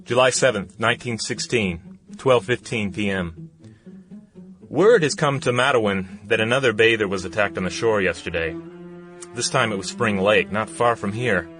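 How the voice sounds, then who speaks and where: A man's voice: on the low side, moderate pace, close to an everyday voice. An actor playing an easygoing, folksy young small-town man of the 1910s, giving an unworried diary monologue in a radio play. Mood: easygoing, dismissive. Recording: music bed under the voice.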